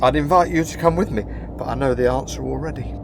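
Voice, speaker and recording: A man's voice: medium pitch, fast, gravelly, noisy background, natural voice. A man playing a flirty, tipsy, hapless male space crew rogue in an audio play. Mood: tipsy flirting. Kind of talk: audio drama dialogue.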